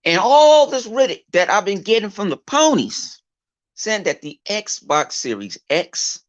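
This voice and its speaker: A man's voice: high voice, sing-song, unhurried. A man with a big voice, ranting in a casual vlog, profane and full of fillers and repeated words. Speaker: gaming YouTuber. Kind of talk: casual off-the-cuff vlog rant. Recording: dull lo-fi recording.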